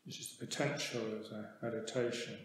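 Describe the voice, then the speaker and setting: A man teaching a guided meditation in a calm, measured manner with long pauses. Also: on the low side. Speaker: Buddhist monk meditation teacher. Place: meditation hall. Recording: very echoey hall, faint background noise.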